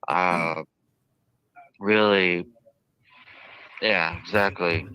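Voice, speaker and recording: A man giving unscripted interview answers in a halting, earnest, wordy way, often repeating himself, on muffled audio. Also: sits low, clear, resonant tone. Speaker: jailed interviewee. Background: played within a live stream.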